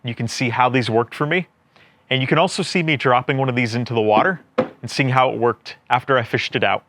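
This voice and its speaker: A man presents a casual gear review in an explanatory, plain-spoken way. His voice sits at a middle pitch for a man. The sound is dry, with hardly any room around it. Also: a little fast, fairly bright tone, dry recording. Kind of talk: casual product review talk.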